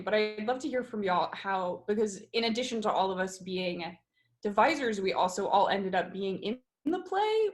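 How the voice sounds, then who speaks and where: A woman hosting a casual post-show discussion with fellow theatre collaborators over a video call. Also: medium pitch.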